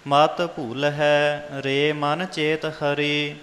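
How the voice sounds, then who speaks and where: A man reciting Sikh scripture in a measured, sing-song devotional chant. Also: a little high, level tone, a bit bright. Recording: long hall reverb, music behind.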